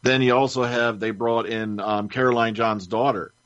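A man's voice: medium pitch, steady tone, normal speed, a bit bright, dry recording, muffled recording. A man speaking as a podcast panelist in casual, informed chat.

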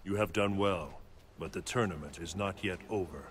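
Deep, solemn thunder god mentor. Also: male voice, a bit bright, far from the mic, a little hiss or hum. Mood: measured. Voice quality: deep, grave. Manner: measured, weighty delivery. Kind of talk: video game cutscene acting.

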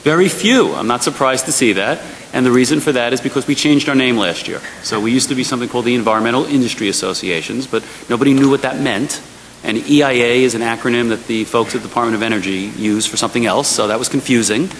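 A man's voice: mid-range voice, quick pace, a bit bright. A man in his forties giving a conversational panel talk into a table microphone in a large hearing room, engaging the audience with frequent ums. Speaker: trade association advocate. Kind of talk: policy panel presentation. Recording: long hall reverb, faint hiss.

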